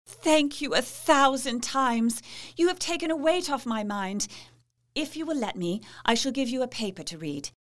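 Casting: devoted young Victorian wife and diarist in gothic audio drama; lightly high pitch, polite, sincere, formal diction. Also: female voice, expressive, normal speed. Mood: grateful, earnest. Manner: proper, formal, heartfelt. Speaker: actress narrating and playing dialogue.

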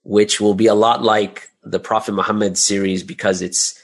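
Male interview guest, lower-pitched voice, conversational and chatty delivery, peppered with small check-in tags. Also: level tone, normal speed. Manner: chatty, often adds "right?" and "you know". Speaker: interview guest, audio drama creator.

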